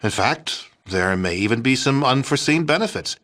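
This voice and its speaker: A man's voice: medium pitch, expressive, moderate pace, fairly bright tone, close to an everyday voice. A man with an American accent playing a pompous, folksy, smug middle-aged president in a video game. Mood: defensive, proud. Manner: pompous, declamatory, hesitant stammering when cornered. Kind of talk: video game dialogue acting.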